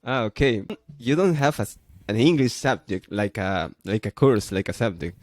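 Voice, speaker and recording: A man's voice: fairly low pitch, lively intonation, normal speed, no room sound. Hesitant male podcast host, dry sound. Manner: hesitant, restarts questions. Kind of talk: casual interview questions.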